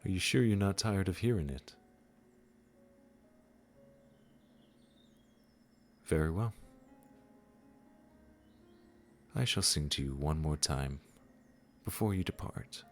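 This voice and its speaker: A man playing a gentle, wise supernatural gardener in a comforting audio roleplay, speaking soothingly and reassuringly straight to the listener. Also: low-pitched, lively intonation. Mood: reassuring, fond. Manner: soothing, unhurried, reassuring, with soft chuckles.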